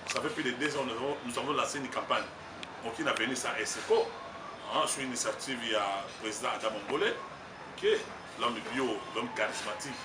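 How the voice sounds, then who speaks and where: A man's voice: mid-range voice, quick pace. A man with a Congolese French accent, a political candidate speaking emphatically and rambling, slipping into Lingala. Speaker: political candidate, party communications secretary. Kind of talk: street interview. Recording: roomy, distant mic, noisy background.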